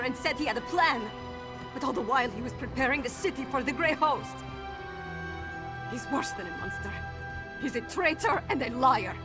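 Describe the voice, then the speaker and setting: An actress playing a troubled, dutiful young princess in a video game, speaking in an earnest, measured, conflicted way. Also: female voice, a little high, close to an everyday voice. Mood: bitter, betrayed. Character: troubled young princess, betrayed by her royal father, dutiful. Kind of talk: video game quest dialogue.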